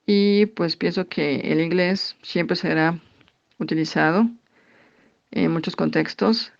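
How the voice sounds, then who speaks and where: Woman, Mexican accent, gravelly, hesitant with fillers, muffled lo-fi recording. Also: fairly low pitch. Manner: thoughtful, halting with frequent fillers. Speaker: interviewee, English teacher. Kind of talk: answering interview questions. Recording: dull lo-fi recording.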